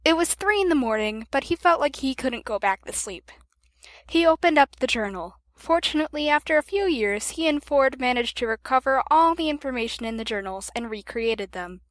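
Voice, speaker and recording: A woman narrates a scripted story in a high voice. Her intonation moves around a lot, and the recording is dry and close. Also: expressive, fairly quick, no room sound. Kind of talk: scripted narration of fan fiction.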